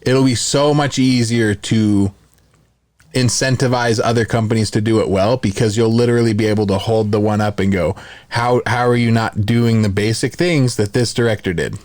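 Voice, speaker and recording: A man with a lowish voice in a very dry, close recording without room echo. He chats as a podcast co-host, offering opinions with a wry, conversational touch. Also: steady tone, moderate pace, darkish tone. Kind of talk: casual group podcast chat.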